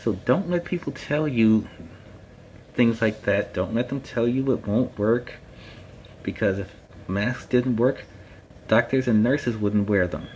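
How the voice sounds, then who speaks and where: A man's voice: medium pitch, measured pace, long pauses, very noisy, natural voice. A man playing a friendly superhero storytime host, talking casually and earnestly to viewers in a plainspoken, emphatic way. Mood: firm, instructive. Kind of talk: casual instructive talk to viewers.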